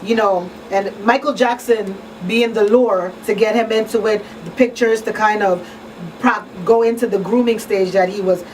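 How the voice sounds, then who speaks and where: A woman hosts a talk show in a small studio, explaining conversationally. Her voice sits at a middle pitch. The pickup is somewhat distant, with faint room noise. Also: steady tone, normal speed, a little mellow. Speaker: radio show host and crisis counselor. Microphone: studio broadcast mic on boom arm.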